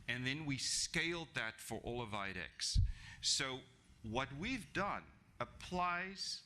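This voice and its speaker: A man's voice: medium pitch, sharp tone, slight background noise. A middle-aged man from South Africa, English not his first language, giving a conversational talk at a business breakfast with frequent ums and restarts. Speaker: guest speaker. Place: function room with an audience. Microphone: podium microphone.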